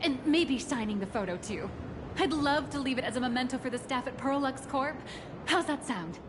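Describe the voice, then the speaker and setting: Woman playing a higher-voiced, persuasive corporate agent. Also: moderate pace, noisy background, close to an everyday voice. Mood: courteous, persuasive. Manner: smooth-talking, courteous salesmanship. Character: polished, persuasive female corporate agent and guide. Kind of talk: scripted game voice acting. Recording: game music behind the voice. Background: game music.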